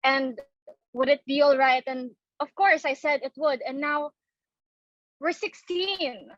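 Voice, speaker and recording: A woman's voice: high-pitched, takes it slowly, dry recording, muffled recording. A woman playing an anxious, earnest young society daughter in a dramatic reading of a stage comedy, with a pleading, theatrical delivery. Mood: flustered.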